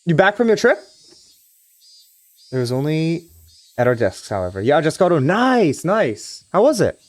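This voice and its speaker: Young guy from trinidad chatting on stream, lots of restarts, bouncy pitch, dry close mic. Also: huge pitch swings, normal speed, dry recording. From Trinidad and Tobago. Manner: rambling, frequent restarts and hedging fillers. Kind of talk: casual live-stream chat with viewers, reading a visual novel aloud.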